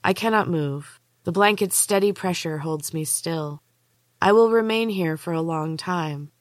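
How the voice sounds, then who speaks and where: Female audiobook narrator, lower register, close dry recording, measured suspenseful first-person storytelling. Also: dry recording. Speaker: author and podcast host reading own book.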